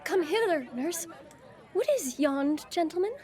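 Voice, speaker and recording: A woman's voice: extremely high pitch, expressive, a little slow, darkish tone, some chatter behind. A woman playing a young, lovestruck noblewoman in classical Shakespearean theatre, girlish and earnest. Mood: eager. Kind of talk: classical Shakespearean stage acting.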